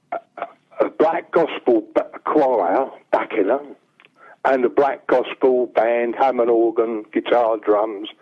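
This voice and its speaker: An older man with a bass-baritone voice, telling rambling stories as a songwriter guest. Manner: rambling anecdotes, acts out quoted dialogue. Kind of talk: casual storytelling. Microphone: telephone. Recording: tinny phone line.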